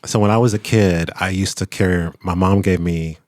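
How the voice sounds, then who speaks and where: Homme posé à la voix grave et sèche. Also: male voice, low-pitched, steady tone, moderate pace, no echo, clean studio sound. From Kentucky, US. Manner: reflective, candid, laughs often, many fillers and restarts. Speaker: author and attorney, interview guest. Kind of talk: casual interview conversation.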